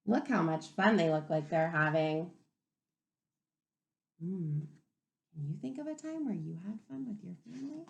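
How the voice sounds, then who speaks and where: A woman reading a picture book aloud to young children as a teacher, expressively, pausing to wonder aloud and ask them questions. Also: fairly low pitch, vocal fry, lo-fi sound. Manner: expressive, wondering aloud, inviting listeners to reflect.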